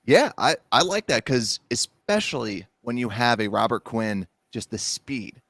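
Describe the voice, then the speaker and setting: A man's voice: mid-range voice, very animated, measured pace, a bit bright, no room sound. A man who is a guest on a casual sports podcast, agreeing readily and laughing easily as he talks.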